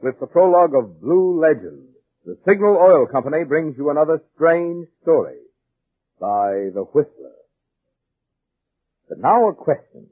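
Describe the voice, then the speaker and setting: A man announcing and pitching a product in an emphatic 1940s radio advertising style, on a vintage recording. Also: animated, measured pace, pauses often, slightly rough, no echo. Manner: emphatic, salesmanlike. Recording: vintage 1940s radio, narrow tinny band.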